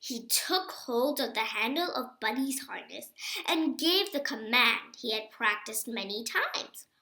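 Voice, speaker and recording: Female storybook reader: bright, unhurried, expressive and emphatic phrasing, echoey home recording. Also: on the high side, vocal fry. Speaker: reader of a children's book. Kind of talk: reading a storybook aloud.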